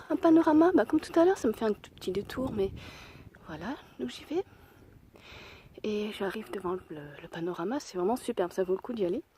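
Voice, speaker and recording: Hoarse young woman vlogging on a hike. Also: a little high, dark tone, a little hiss or hum. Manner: chatty and self-deprecating, thinking aloud. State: hoarse voice from a cold; out of breath. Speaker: hiker vlogging a mountain trail. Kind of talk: casual spoken travel vlog. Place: outdoors on a mountain trail.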